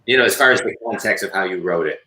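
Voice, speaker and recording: Host, man in his forties, low, bright tone, eager questions, roomy echo. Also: fast talker. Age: 40s. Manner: eager, repeats "right?" and "so" often. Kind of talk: live business interview.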